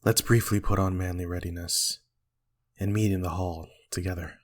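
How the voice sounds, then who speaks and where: A man's voice: sits low, fairly even intonation, normal speed, natural voice. A man playing a brooding, guilty usurper who declaims weightily in an amateur Shakespeare reading. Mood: resolute. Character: guilty usurper Macbeth feigning grief, brooding.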